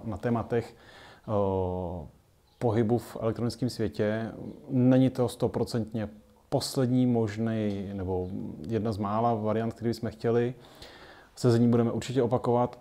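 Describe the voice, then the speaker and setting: A man in his forties from the Czech Republic, talking unscripted to camera in a halting way with many hesitant pauses. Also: fairly low pitch, dark tone. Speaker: children's group founder and carer. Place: small colourful indoor children's playroom.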